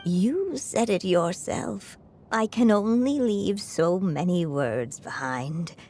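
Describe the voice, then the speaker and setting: A woman playing a frail but resolute elderly writer in a video game, measured and reflective, with a breathy voice that weakens into whispers. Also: lowish voice, animated, darkish tone, full character voice. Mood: wistful, reflective. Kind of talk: dramatic video game voice acting. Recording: music under the voice.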